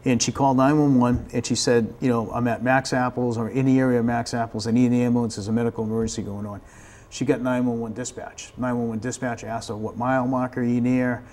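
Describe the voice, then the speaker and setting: An American man gives conversational explanations as an interview guest. His voice is mellow and soft-edged at a medium pitch, and he tends to repeat words as he begins a sentence. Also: flat delivery, fast. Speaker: fire department staff member, interview guest.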